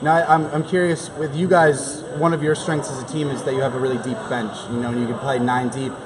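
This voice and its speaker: Young man, sports show host, medium pitch, postgame commentary, gym crowd noise. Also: flat delivery, fast, rough recording. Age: young adult. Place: large indoor basketball gym.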